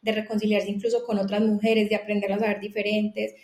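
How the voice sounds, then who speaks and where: A woman with a Colombian accent, a company founder and podcast guest, talking earnestly in a quick casual conversation with many restarts. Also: medium pitch, monotone, darkish tone, echoey room.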